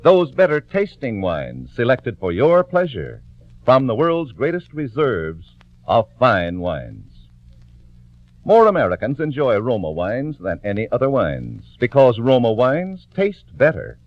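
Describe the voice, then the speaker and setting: A man's voice: mid-range voice, no room sound. A man reading a scripted 1940s radio commercial as announcer, polished and emphatic. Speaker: radio announcer and sponsor's pitchman. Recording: muffled dry old radio recording.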